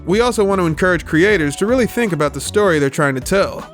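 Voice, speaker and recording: Higher-pitched man, emphatic essay narration. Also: animated, fast. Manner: emphatic, sweary, colloquial. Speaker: video essay host. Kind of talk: scripted opinionated video essay narration. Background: music.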